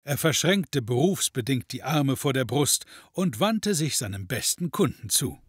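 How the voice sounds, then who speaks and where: Male narrator, raspy voice, wry, descriptive, scripted storytelling, close dry studio sound. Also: mid-range voice, lively intonation, measured pace, no echo.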